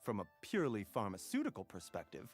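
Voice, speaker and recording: A man's voice: on the low side, wildly swooping pitch, heavily acted. A courteous, scholarly male pharmacist from a video game. He is mild and earnest, phrasing things formally with very varied intonation. Light music sits behind the voice. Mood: explanatory. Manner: polite, measured, formal phrasing.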